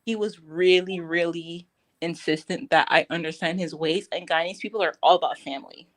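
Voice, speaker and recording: A woman's voice: lowish voice, steady tone, normal speed. Bubbly woman guest who laughs often. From Barbados. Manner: bubbly, laughs often, trails off mid-sentence. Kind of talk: casual live-stream chat.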